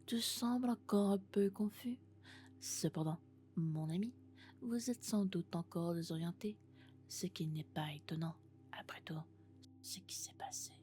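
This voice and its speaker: A woman playing a friendly, doting female robot in an ASMR roleplay, speaking gently and often in whispers. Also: lowish voice, measured pace, soft background music, natural voice. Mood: gentle, cheerful. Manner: gentle, reassuring, alternating soft speech and whispers. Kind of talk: French ASMR roleplay, soft-spoken and whispered.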